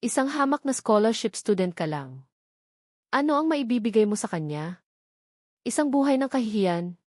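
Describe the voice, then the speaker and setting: A woman's voice: fairly high pitch, normal speed, no echo, clean studio sound. Woman acting a cold, haughty rich older mother. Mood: cold contempt. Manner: calm, sharp, contemptuous.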